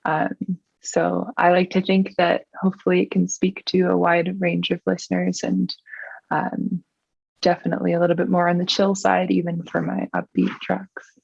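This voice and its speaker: Gravelly New woman, chatty. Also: fairly low pitch, monotone, moderate pace, darkish tone, dry recording, lo-fi sound. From New Zealand. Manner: chatty, frequent "like" and "um" fillers. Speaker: singer-songwriter guest. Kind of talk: casual interview chat.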